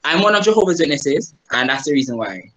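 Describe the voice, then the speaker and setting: A man's voice: on the high side, big pitch swings, fast, pushed and bright, low-quality recording. Jamaican man, language teacher presenting over a video call, explanatory and conversational. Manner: explanatory, asks the audience "right?" often. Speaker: guest presenter, Chinese teacher. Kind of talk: online presentation to a group.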